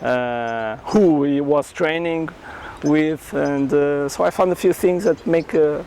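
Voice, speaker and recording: A Portuguese-accented man speaks with a slightly raised pitch, recounting his experience as an interview guest in earnest, halting English full of restarts. He is recorded outdoors in a town, with faint street noise behind him. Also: a little mellow. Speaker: karate champion and entrepreneur, guest. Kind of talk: interview storytelling.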